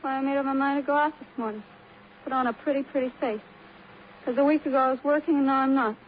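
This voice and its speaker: A woman's voice: high voice, fairly even intonation, fast talker, natural voice. An actress playing a frail, defiant teenage working girl with an American accent, rambling dreamily and flippantly in 1950s radio crime drama style. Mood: flippant, evasive. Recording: muffled old radio recording, faint noise.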